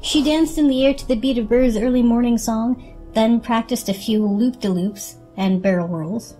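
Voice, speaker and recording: A woman narrating a children's story as an expressive dramatic reading. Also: on the high side, normal speed, soft background music, rough recording.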